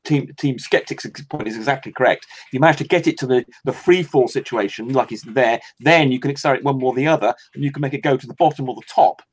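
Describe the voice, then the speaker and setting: A man's voice: mid-range voice, fast talker, hardly any pauses, breathy edge. A man explaining at length in a casual online voice chat, with rambling restarts. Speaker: call guest.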